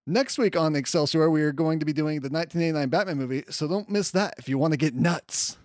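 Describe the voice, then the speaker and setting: A man with a fairly high voice talks as a podcast host, giving casual, enthusiastic commentary. His delivery is conversational and open to self-deprecating asides, and the sound is close and dry. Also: fast, darkish tone, no room sound. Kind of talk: casual comics news commentary.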